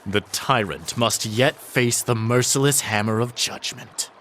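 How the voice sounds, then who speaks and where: An actor playing a cold, calculating conspirator in a video game, speaking with formal assurance. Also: male voice, mid-range voice, a little slow, a bit bright, slight background noise, natural voice. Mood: cold resolve. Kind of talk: video game villain acting.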